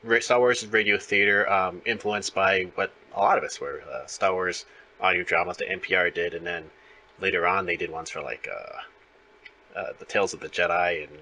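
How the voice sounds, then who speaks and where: A man's voice: sits low, fairly even intonation, normal speed, sharp tone. A man hosting a podcast interview, chatting casually in a friendly, enthusiastic, somewhat rambling way.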